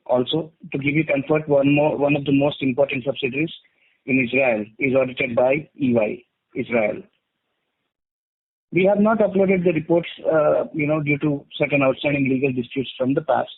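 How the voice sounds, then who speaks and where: A man with an Indian accent giving a formal, measured company statement as an executive on an investor call. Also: medium pitch, breathy edge. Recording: tinny phone conference line.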